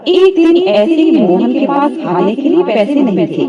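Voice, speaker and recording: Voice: high voice, sing-song, moderate pace, highs cut off, a loud crowd. A narrator telling a scripted moral story in a storytelling style.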